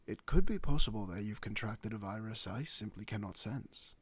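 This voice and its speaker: Man playing a courteous, husky werewolf doctor. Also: lowish voice, animated, normal speed, dry recording. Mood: attentive, examining. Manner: formal, gently reassuring, old-fashioned wording. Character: courteous male werewolf doctor in a fantasy world. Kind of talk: ASMR roleplay.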